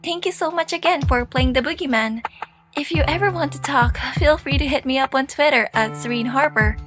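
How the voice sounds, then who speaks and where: A woman speaks in a high, friendly voice, reading a message of thanks to players. Music plays clearly underneath her. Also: a little fast. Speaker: voice actress giving a closing message. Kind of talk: read-out thank-you message.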